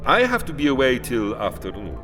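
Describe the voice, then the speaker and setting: An actor playing a courtly, sinister old vampire count in an audio drama, savouring his words with theatrical, drawn-out delivery. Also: mid-range voice, huge pitch swings, a bit bright, soft background music. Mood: courteous, ominous.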